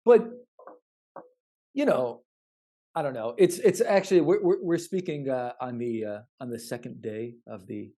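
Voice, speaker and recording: A man co-hosting a casual podcast, speaking earnestly and haltingly, often repeating words as he thinks. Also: medium pitch, animated, warm tone. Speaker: podcast co-host.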